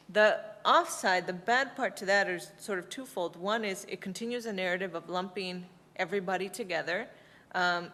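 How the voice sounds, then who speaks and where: A woman's voice: fairly low pitch, sharp tone. A woman researcher on an academic panel, explaining her work at length, thoughtful, with frequent fillers and restarts. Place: lecture hall. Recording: long hall reverb.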